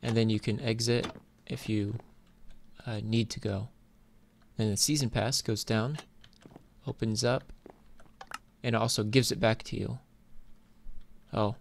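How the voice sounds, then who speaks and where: A man's voice: fairly low pitch, level tone, unhurried, pauses often. A man casually commentating on his own gameplay, thinking aloud in an informal way as host. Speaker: host of a gaming video series. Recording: clear background noise, keyboard clicks. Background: computer keyboard and clicking.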